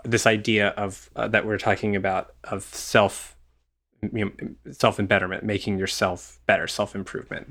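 Guest, male, 20s, lowish voice, candid and easygoing musician. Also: fairly bright tone. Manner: earnest and easygoing, with light laughs and "um" fillers. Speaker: orchestral horn player guest. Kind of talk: conversational interview answer.

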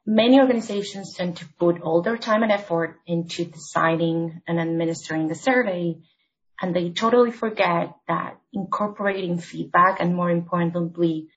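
Woman from Argentina, podcast guest, lowish pitch, measured and explanatory. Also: muffled recording. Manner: measured, explanatory, structured points. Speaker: HR consultant guest. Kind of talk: podcast interview.

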